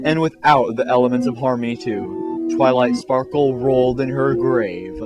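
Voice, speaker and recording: A man's voice: medium pitch, normal speed. A man narrating comic fan fiction in a mock-dramatic way, dropping in casual asides. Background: music.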